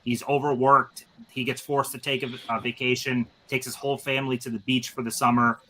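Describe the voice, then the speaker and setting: A man's voice: mid-range voice, little pitch movement, fairly quick, pushed and bright, a little hiss or hum, poor audio. A man in his thirties hosting a casual movie-chat stream, talking eagerly with lots of fillers. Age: 30s. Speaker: stream host.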